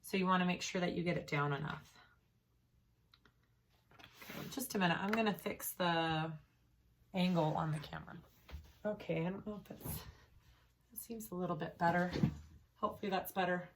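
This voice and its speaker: A woman's voice: on the low side, normal speed, pauses often, a little hiss or hum. Woman with a strained, recovering voice. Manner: chatty and easygoing, thinking aloud while she works. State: voice strained from recent illness. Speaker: sewing tutorial host. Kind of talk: casual step-by-step craft tutorial.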